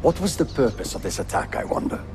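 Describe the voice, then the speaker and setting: A man's voice: mid-range voice, sing-song, fast, over music. A man playing a proud, resentful Ottoman prince in a video game, speaking in an imperious, indignant manner. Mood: accusing. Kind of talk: video game cutscene acting.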